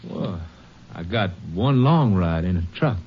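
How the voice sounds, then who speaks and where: A man's voice: low-pitched, lively intonation, unhurried. An actor playing an uneasy, defensive country man with a rural Southern accent, hesitant and easily riled, in a 1950s western radio drama. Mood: hesitant, evasive. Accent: rural Southern American. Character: uneasy, defensive male Texas farm brother. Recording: muffled old radio recording.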